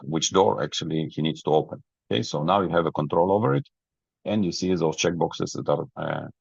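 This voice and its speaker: A man who is a non-native English speaker presents a software webinar, explaining each step methodically. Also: sits low, creaky, husky. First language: not English. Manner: methodical, step-by-step, checks understanding. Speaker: webinar presenter, product trainer. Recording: roomy, dull lo-fi webinar audio.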